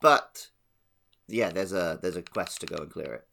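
A man's voice: low voice, normal speed, creaky, sharp tone. A man talking casually as a panelist on a gaming podcast.

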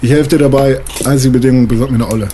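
A man's voice: mid-range voice, fairly even intonation, very fast, warm tone, slight background noise, lightly acted. A man playing a surly, crude, beer-drinking stepson, with a blunt and sneering way of talking. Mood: bargaining, blunt.

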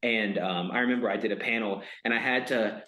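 A man with a loud voice telling stories in a casual, rambling chat, full of fillers and the odd swear word. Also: fairly low pitch, brisk. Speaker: podcast guest. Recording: roomy echoey room sound.

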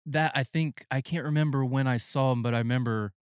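A man in his late thirties interviewing a band, easygoing and telling stories with quick self-corrections. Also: medium pitch, fairly even intonation, clear, resonant tone, no room sound. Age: 30s. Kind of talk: casual interview chat. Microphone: studio mic.

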